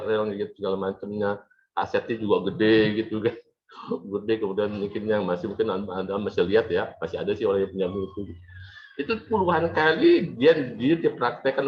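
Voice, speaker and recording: Low-voiced man lecturing, dull audio. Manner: conversational and repetitive, with frequent check-in tags. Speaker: lecturer in an Arabic language course. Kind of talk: informal classroom lecture.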